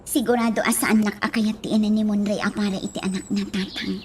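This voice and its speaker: An actress with a Filipino accent playing a hesitant, worried young daughter in a radio drama. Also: female voice, medium pitch, flat delivery, quick pace, a little mellow, a little hiss or hum, close to an everyday voice.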